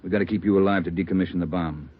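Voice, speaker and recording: A man's voice: deep voice, fairly even intonation, racing, slightly rough. An American man playing a brusque police boss in an old radio drama, curt and commanding. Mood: brisk, tense. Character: brusque male police boss giving orders. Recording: muffled, narrow-band old radio recording.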